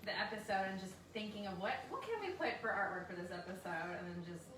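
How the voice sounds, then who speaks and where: A woman's voice: medium pitch, animated, quick pace, fairly bright tone. A woman chatting casually as a member of a podcast team. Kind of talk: casual group chat. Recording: roomy, distant mic, people chattering behind.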